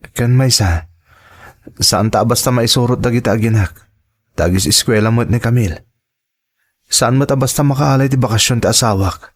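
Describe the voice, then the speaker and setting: A man's voice: medium pitch, close to an everyday voice. A man with a Filipino accent playing a concerned young grown son in an audio drama, earnest, firm and respectful. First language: Ilocano.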